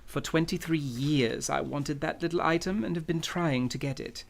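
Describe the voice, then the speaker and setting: An actor playing a genteel, scheming, unflappable villain in a live old-time radio drama, speaking in pompous, florid, courtly phrases. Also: male voice, on the high side, normal speed, vocal fry. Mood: blustery, philosophical.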